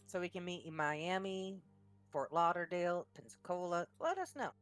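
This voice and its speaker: Low-voiced woman chatting over a call. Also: moderate pace, clean tone, faint music behind. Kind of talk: casual chat with a gaming group.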